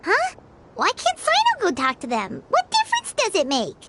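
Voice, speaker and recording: Anxious little girl for a game: tiny high voice, swinging intonation, hesitant. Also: wildly swooping pitch, normal speed, very noisy, exaggerated voice. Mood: puzzled. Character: timid, earnest, anxious young girl. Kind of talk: video game voice acting.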